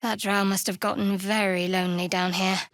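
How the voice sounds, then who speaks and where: A woman's voice: mid-range voice, little pitch movement, a bit bright, no echo, close to an everyday voice. Woman voicing a guarded, dry-witted young cleric, close-miked. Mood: wry. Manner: dry, measured, wry. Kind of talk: fantasy video game voice acting.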